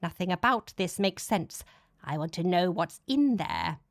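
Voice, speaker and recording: A woman's voice: lowish voice, lively intonation, unhurried, no echo, studio quality. A woman voicing an angry demon commander in an audiobook, exasperated and barking at subordinates. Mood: angry, baffled. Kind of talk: dramatic audiobook character voice.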